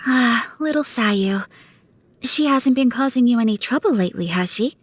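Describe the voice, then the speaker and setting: A young woman playing a refined, dutiful, gentle noblewoman in a video game, speaking with formal, courteous, measured phrasing. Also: on the high side, animated, no room sound. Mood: polite concern. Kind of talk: scripted video game character dialogue. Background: music.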